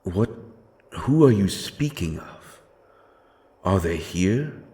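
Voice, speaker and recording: A man playing a grim, doomed, godless avenger in a dark fantasy audio drama, with a brooding, solemn delivery and weighty pauses. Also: low voice, lively intonation. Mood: tense, demanding.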